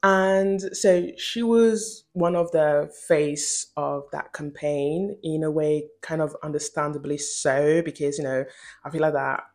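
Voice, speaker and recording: A woman's voice: sits low, fairly even intonation, a little slow, a little mellow. A young woman talking casually and emphatically to camera in an informal, slangy video essay style, recorded in a room at home. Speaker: video essayist and channel host.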